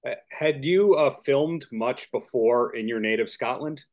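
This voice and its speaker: Chatty podcast host. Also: male voice, medium pitch, measured pace, darkish tone. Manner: chatty, jokey, long rambling questions. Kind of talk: casual interview over video call. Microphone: video call.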